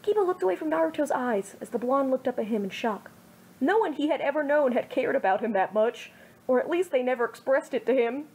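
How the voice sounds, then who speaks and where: A woman's voice: sits high, animated, a little fast, a little mellow, slightly rough, rough recording. A woman reading fan fiction aloud as an amateur storyteller. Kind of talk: storytelling, read-aloud narration.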